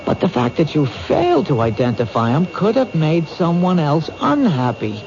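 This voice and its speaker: A determined detective in a vintage radio mystery. His voice sits a little high and he presses his reasoning with protective insistence. The old recording is dull, with clear noise over it. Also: huge pitch swings, normal speed, a little mellow, full character voice. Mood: urgent, deducing. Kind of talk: 1970s radio drama acting.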